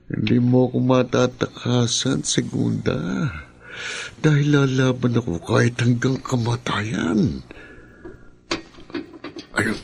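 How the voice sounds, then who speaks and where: A man's voice: fairly low pitch, vocal fry, slight background noise. An actor with a Filipino accent playing a threatening, taunting, vengeful gunman in a radio drama. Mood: sly, scheming. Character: menacing gunman, vengeful man taking a hostage.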